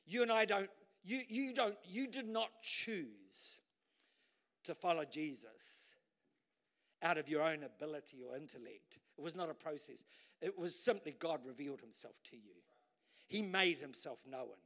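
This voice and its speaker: A male pastor preaching a sermon to a church, animated, swinging between loud bursts and low asides and repeating words as he pushes a point. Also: normal speed.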